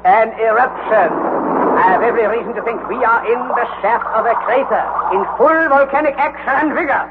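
A man's voice: very high-pitched, fairly quick. An actor playing a commanding older male scientist, speaking in a theatrical, authoritative way. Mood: confident. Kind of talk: 1940s radio acting. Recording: tinny old radio broadcast with faint hiss.

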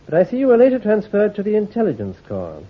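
A shrewd, brisk army intelligence commander in an old radio play, laying out orders in a clipped, authoritative manner. His voice is slightly husky with lively pitch movement. The recording sounds thin and tinny, like a phone line. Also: heavily acted. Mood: commanding. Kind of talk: radio drama acting.

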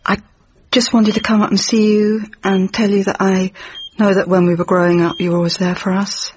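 An actress playing an earnest grown-up daughter speaking hesitantly and plainly at a bedside in a comedy sketch. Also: female voice, medium pitch, level tone, brisk, muffled recording, slight background noise, lightly acted. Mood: earnest, gentle.

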